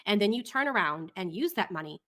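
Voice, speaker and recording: A woman's voice: medium pitch, lively intonation, a little fast, fairly bright tone. A woman hosting casual commentary over clips, sarcastic and skeptical, drawing out her points. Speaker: commentary channel host.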